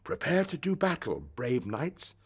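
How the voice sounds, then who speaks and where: Man acting out a dramatic little boy king, pitched up. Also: sing-song, measured pace, slight background noise. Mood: bold, commanding. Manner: dramatic, declaiming. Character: brave little boy playing king, grandly defiant then frightened.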